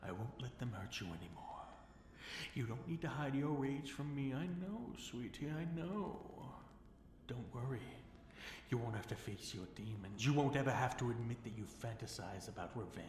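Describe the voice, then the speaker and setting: A man playing a possessive, seductive fallen dragon king in an intimate ASMR fantasy roleplay, with teasing, commanding delivery and breathy whispered words. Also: mid-range voice, animated, normal speed, a little mellow, lightly acted. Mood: commanding, vengeful. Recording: roomy echo, faint background noise.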